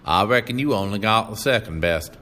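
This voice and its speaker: A man's voice: on the low side, animated, fairly quick, pushed and bright. A man voicing a sentimental, pious drifter, pleading and preachy, in an audiobook reading.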